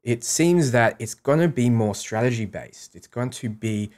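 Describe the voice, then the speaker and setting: A man's voice: medium pitch, expressive, moderate pace, a little mellow. A man with an Australian accent hosting a livestream, chatting casually and thinking aloud in an informal way. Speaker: live streamer and host.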